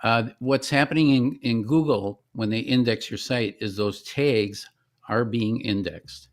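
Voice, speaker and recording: A man giving an informal screen-share demonstration as the presenter of a software product. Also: mid-range voice, measured pace.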